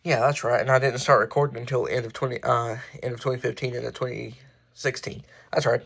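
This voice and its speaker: A man presenting his hobby collection in a casual, unscripted way, halting and often correcting himself as he goes. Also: mid-range voice, level tone, gravelly, a bit bright, breathy edge. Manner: halting, offhand, self-correcting. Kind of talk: casual unscripted show-and-tell.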